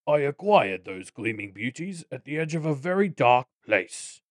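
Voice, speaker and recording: A man voicing a pompous, menacing goblin boss in an audiobook, with wordy, deliberate speech. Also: medium pitch, big pitch swings, no echo, studio quality, pitched above the performer's own voice. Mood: pompous, controlling. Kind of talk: audiobook character acting.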